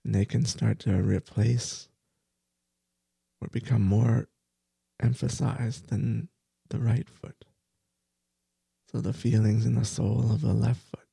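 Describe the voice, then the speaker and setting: A man who is a meditation teacher, leading a guided body scan for sleep with slow, soft, soothing phrasing that repeats itself. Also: lowish voice, creaky, slightly rough, no echo.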